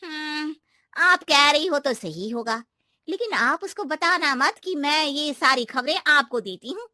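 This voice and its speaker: A sly neighbourhood gossip , played by a woman, speaks in a high, bright voice. Her delivery swings widely, exaggerated and insinuating, in cartoon style with a dry, close sound. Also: lively intonation, measured pace, no room sound, exaggerated voice. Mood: conspiratorial. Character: sly gossiping female neighbour stirring up family quarrels. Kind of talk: animated cartoon dialogue in Hindi.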